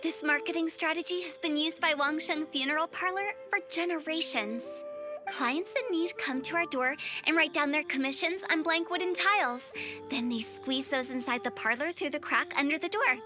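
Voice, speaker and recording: A woman's voice: high-pitched, normal speed, natural voice. A woman playing a perky, cheeky young funeral parlor director in an anime game, with theatrical sales patter. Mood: proud, persuasive. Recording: game audio with music behind.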